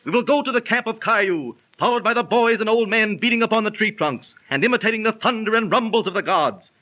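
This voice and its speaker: An actor in a 1930s radio drama playing a zealous young Tartar chieftain's son, declaiming in stately archaic diction. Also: male voice, high voice, lively intonation, fast talker, sharp tone. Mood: triumphant, rousing. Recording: noisy old 1930s radio transcription recording.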